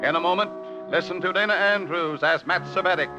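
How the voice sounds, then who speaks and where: A man's voice: high voice, brisk. A man announcing a 1950s radio drama in a dramatic, emphatic style. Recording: dull, lo-fi old radio recording. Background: brassy orchestral music.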